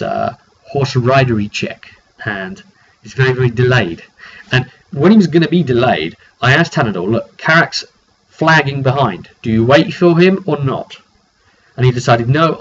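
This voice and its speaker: A man's voice: medium pitch, fairly bright tone. A British man casually recounting a tabletop roleplay session as game master, telling it off the cuff with dry, wry asides. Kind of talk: casual unscripted recap to camera. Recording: dull lo-fi sound with clear background noise.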